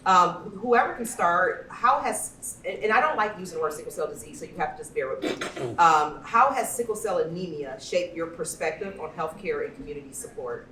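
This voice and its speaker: A woman with a slightly low voice hosts a live panel in a chatty, effusive way. The room is echoey and she sounds distant from the mic, speaking personally and informally. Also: a little fast, sharp tone, slight background noise. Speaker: panel moderator and event host. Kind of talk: informal spoken panel introduction. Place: indoor event venue, amplified panel talk.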